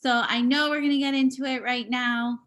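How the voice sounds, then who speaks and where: Woman with a fairly high voice, sign language voice interpreter, halting and restarting, video call. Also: fairly even intonation, a bit bright. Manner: halting, with pauses and restarts as she follows the signing. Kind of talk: live voicing for a signing performer.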